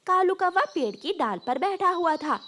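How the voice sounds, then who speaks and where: A woman narrates a children's cartoon tale in a high voice with lots of melodic movement. The delivery is scripted storytelling, with faint noise in the background. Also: lively intonation, normal speed, fairly bright tone.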